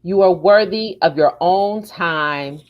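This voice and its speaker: A woman hosting a casual live podcast, chatting and reading aloud in an expressive, emphatic way. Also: lowish voice, slow pace, lo-fi sound. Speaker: podcast host.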